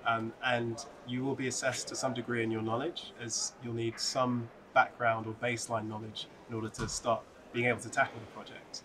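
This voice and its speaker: A young man from the UK, a recent PhD graduate, giving advice in a steady, even way. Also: medium pitch, normal speed, few pauses, noisy background. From United Kingdom. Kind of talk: answering interview questions to camera. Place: large open atrium, indoors. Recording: crowd chatter behind the voice.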